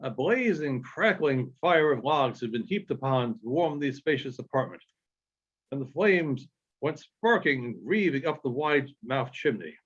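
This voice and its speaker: A man reading literary narration aloud in a halting way, with frequent pauses and small stumbles. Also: mid-range voice, sing-song, a bit bright, slightly rough. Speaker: audiobook reader. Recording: dull, lo-fi sound.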